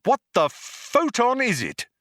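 A man voicing a brash, excitable space adventurer in an audiobook, loud, punchy and impatient. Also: medium pitch, a little slow, dry recording, clean studio sound, full character voice. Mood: excited.

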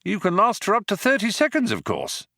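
A man's voice: high voice, wildly swooping pitch, brisk, gravelly, bright, forceful tone, no room sound, voice pushed up, full character voice. A man voicing a flippant, resigned male alien traveller from a storybook, with a breezy, dry, offhand manner about doom.

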